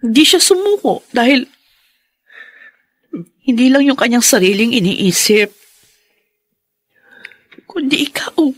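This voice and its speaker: An actress in a Filipino radio drama playing a devoted, encouraging daughter-in-law, speaking in an earnest, heartfelt way. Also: female voice, a little high, big pitch swings, takes it slowly, pauses often, breathy edge, no echo, exaggerated voice.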